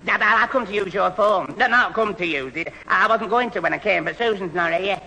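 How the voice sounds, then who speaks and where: A gormless, nervous lad with a high, piercingly bright male voice. He stammers and ties himself in knots, in an old radio sitcom with hall reverb. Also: brisk, creaky, slight background noise, full character voice. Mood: muddled. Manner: stammering, rambling, tangled.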